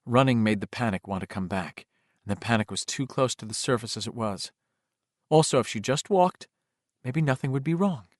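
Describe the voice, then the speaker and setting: A man reading horror fiction as a dramatic, expressive audiobook narrator, with a suspenseful delivery. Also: medium pitch, normal speed, dry recording, clean studio sound.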